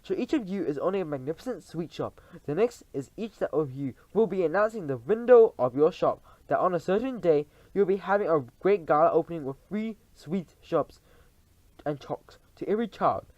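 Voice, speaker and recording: A man's voice: a little high, animated, moderate pace, darkish tone, no room sound, pitched above the performer's own voice, close to an everyday voice, poor audio. A man reading aloud and voicing a tiny, tyrannical female witch leader who shrieks orders in stilted, odd grammar. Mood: lecturing.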